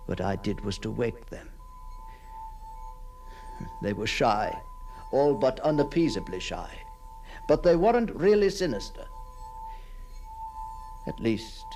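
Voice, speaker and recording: Haunted, obsessed man, creaky-voiced. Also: on the low side. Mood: fervent, exultant. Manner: theatrical, hushed passages swelling into fervent declamation. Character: obsessed haunted man stalking apparitions, intense and brooding. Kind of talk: dramatic first-person ghost story monologue.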